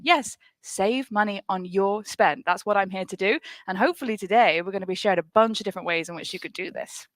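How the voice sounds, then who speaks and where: A woman co-hosting a casual tech livestream, lively and quick to laugh. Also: medium pitch, no room sound. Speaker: co-host, optimization architect.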